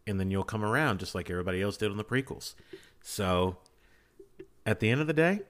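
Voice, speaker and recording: Man, lowish voice, casual podcast chat, emphatic repeated phrasing. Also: expressive, fairly quick. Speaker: podcast guest.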